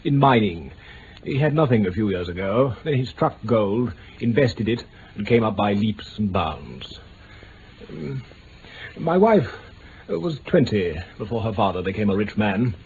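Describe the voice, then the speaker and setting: Pompous, animated English nobleman. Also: fairly low pitch, a little slow. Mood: stiff, reminiscing. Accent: upper-class English. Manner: pompous, stiff, hesitant over delicate words. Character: pompous, easily affronted aristocratic English nobleman. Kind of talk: 1950s-60s BBC radio drama acting. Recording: muffled, hissy old radio recording.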